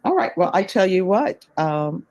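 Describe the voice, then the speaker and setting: A woman judge in a courtroom, friendly and plainspoken, spelling out clear step-by-step instructions. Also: lowish voice, sing-song, moderate pace, darkish tone, dull audio. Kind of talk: presiding over court hearings.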